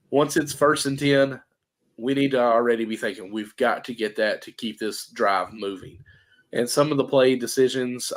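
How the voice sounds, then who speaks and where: A man co-hosting a casual sports podcast, hoarse and congested, rambling and restarting his thoughts. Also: medium pitch. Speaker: podcast co-host.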